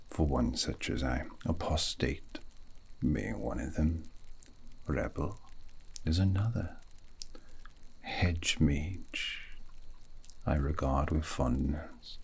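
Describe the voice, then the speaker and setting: Man acting as scholarly elf apostate, ASMR roleplay, husky near-whisper, extremely slow deliberate pacing, wistful, reflective. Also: a bass voice, a little mellow, heavily acted. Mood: wistful, quietly proud. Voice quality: breathy, gravelly, often slipping into whisper. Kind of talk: ASMR roleplay monologue, soft half-whispered.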